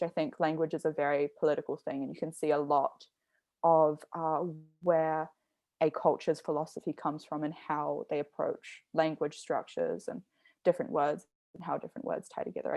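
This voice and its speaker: A young woman with a low voice and plenty of gravelly fry talks through her ideas slowly and carefully. The sound is close and dry, with no room around it. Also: a little mellow, no room sound. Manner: reflective, explaining carefully. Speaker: artist in conversation. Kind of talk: thoughtful conversational talk.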